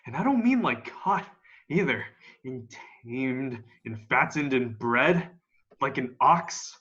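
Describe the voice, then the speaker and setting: A young man playing a grim, defiant militia survivor of an alien invasion in an amateur radio play, with a folksy, halting, emphatic delivery. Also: medium pitch, lively intonation, a bit bright. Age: young adult. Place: room at home. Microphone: video call. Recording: dull, lo-fi video call.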